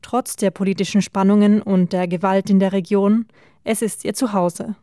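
Dry, flat-voiced female news reporter. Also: mid-range voice, no echo. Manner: measured, even reading. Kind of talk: scripted news narration.

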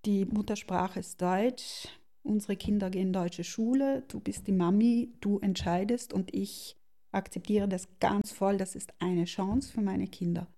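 Middle-aged South Tyrolean woman, creaky voice. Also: mid-range voice, fairly even intonation, unhurried, mellow. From South Tyrol, Italy. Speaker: interview guest. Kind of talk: reflective personal interview.

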